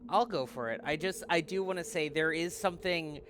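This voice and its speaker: A man's voice: a little high, brisk, slight background noise. A man co-hosting a playthrough, reacting casually and enthusiastically with lots of hesitant fillers. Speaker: podcast co-host. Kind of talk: casual reaction chat during a game playthrough. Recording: loud music under the voice.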